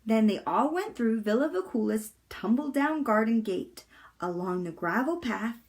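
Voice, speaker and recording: A woman reading a children's chapter book aloud with deliberate, expressive storytelling. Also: fairly high pitch. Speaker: volunteer storybook reader.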